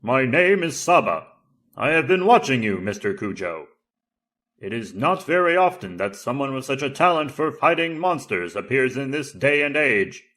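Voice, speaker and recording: A man voicing an ancient enchanted tiger saber in an audio drama, formal, flattering and theatrical. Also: mid-range voice, normal speed, voice pushed up. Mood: stately. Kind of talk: audio drama character acting.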